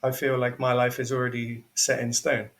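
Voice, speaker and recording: A man's voice: mid-range voice, level tone, moderate pace. Young man hosting a podcast interview. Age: 20s. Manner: encouraging, asks long questions. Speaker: podcast host.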